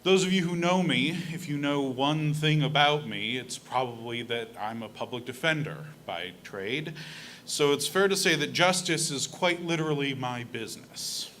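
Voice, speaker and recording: A man's voice: mid-range voice, normal speed, a bit bright, a little hiss or hum. A young man giving an earnest, conversational reflection at a church service, speaking into a pulpit microphone. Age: young adult. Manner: earnest, conversational, with fillers and a self-deprecating laugh. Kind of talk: personal reflection talk from a pulpit. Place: church sanctuary.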